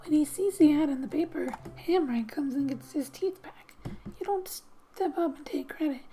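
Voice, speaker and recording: A woman softly narrating a game as ASMR host, breathy and often near a whisper, with gentle, unhurried, playful asides. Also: sits high, faint music behind. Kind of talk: soft-spoken ASMR game narration, reading text aloud with asides.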